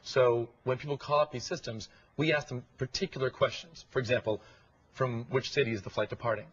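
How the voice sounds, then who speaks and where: A man's voice: mid-range voice, fairly even intonation, a little fast, a bit bright, breathy edge. A man speaking as a guest expert, explaining things in an interview. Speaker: guest expert on speech recognition. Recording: dull lo-fi broadcast audio.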